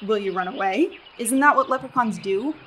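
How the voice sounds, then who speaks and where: A woman's voice: on the high side, expressive, moderate pace, sharp tone, a little hiss or hum. A young woman with an American accent in a radio play, acting a sarcastic, exasperated hiker. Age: young adult. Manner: sarcastic, incredulous.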